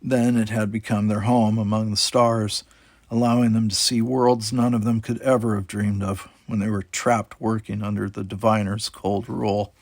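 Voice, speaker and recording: A man's voice: fairly low pitch, little pitch movement, moderate pace, no room sound, clean studio sound. A man reading a children's adventure story aloud as scripted narration. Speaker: audiobook reader.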